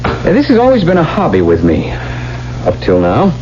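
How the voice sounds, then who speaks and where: An actor playing a desperate, headstrong young lover and gambler, intense and commanding in 1970s radio drama style. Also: male voice, a little high, huge pitch swings, moderate pace, a little mellow, a little hiss or hum. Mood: confident, commanding. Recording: dull 1970s radio recording, music behind.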